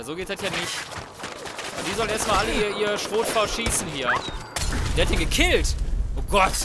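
A man giving casual, colloquial let's play commentary, swearing and reacting aloud as he plays. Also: high voice. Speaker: gaming YouTuber. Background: video game sound and music.

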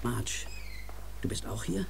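A man's voice: measured pace, background noise, lightly acted. A middle-aged man playing an insistent, commanding police officer and protective father in a radio play. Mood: stern, probing.

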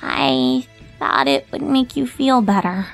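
A woman's voice: sits high, slow pace, vocal fry, faint music behind, pitched above the performer's own voice, heavily acted. A woman voicing an earnest, studious female unicorn pony who gets exasperated, in a cartoon story reading. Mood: dry, sheepish.

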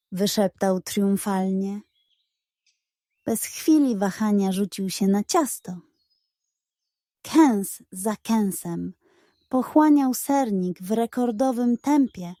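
A woman narrating a scripted children's bedtime story in an expressive storyteller's manner. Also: a little high, no room sound, clean studio sound. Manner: expressive, unhurried.

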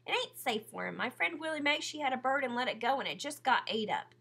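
A guarded boy, as a woman reads him, terse and plain, with a drawl. The voice stays bright and somewhat husky. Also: high-pitched, lively intonation, fast, voice pushed up, heavily acted. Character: shy, guarded Southern boy.

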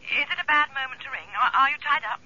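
An actress playing a considerate, gently wry policeman's wife in a radio drama, tentative and expressive. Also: high voice, fast talker, background noise, lightly acted. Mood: tentative, concerned. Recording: dull, band-limited telephone-style radio recording.

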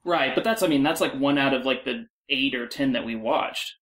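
A man's voice: medium pitch, a little fast, fairly bright tone. A man chatting as a guest in a casual podcast discussion.

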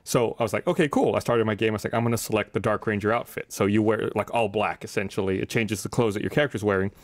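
Casting: American man, thirties, lowish voice, fast casual talker for a gaming podcast. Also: no echo, studio quality. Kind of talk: casual chat. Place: indoor podcast studio. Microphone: desk studio microphone.

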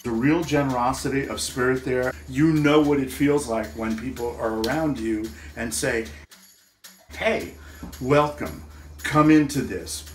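A man who collaborates on the album, reflecting in an interview in a halting, thoughtful way as he searches for words. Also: mid-range voice, soft background music. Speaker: musical collaborator. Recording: roomy echo, faint background noise.

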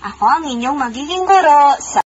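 A woman greeting children loudly, in the manner of a teacher, through a voice amplifier. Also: high-pitched, expressive, normal speed. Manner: loud classroom-style greeting through an amplifier. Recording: dull amplified sound with loud noise.

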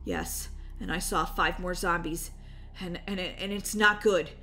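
Woman as an anxious dad, very bright voice. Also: lowish voice, fairly even intonation, a little slow, faint music behind, voice pushed down. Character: anxious, protective father of a family. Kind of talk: children's roleplay story acting.

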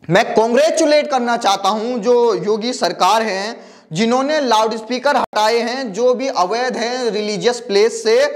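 Young guy doing news commentary to camera, high voice, lapel mic, lots of numbers. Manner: talks directly to the viewer, gesturing with a phone in hand; reels off long lists of figures. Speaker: news presenter. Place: dark studio backdrop, indoors.

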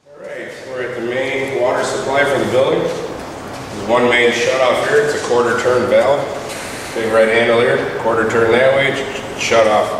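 A man's voice: medium pitch, steady tone, moderate pace. A middle-aged man, a plumber, casually walking through shut-off valves and showing how they work, step by step. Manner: casual, plain-spoken, explaining step by step. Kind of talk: walkthrough training demo. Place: utility rooms of a commercial building, indoors. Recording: distant camera mic with air conditioning noise.

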